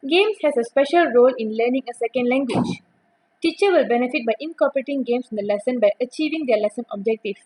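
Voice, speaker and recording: A young woman reads a prepared academic presentation aloud. Her voice sits a little high and has a husky, breathy edge. She keeps a steady reading pace and sometimes restarts a word. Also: fast, poor audio. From Malaysia.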